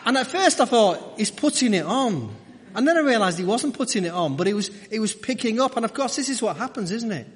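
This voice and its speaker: Preacher in an echoey hall. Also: male voice, high-pitched, sing-song. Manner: halting, repeats words and phrases. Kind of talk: church sermon, conversational. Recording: echoey hall with long reverb.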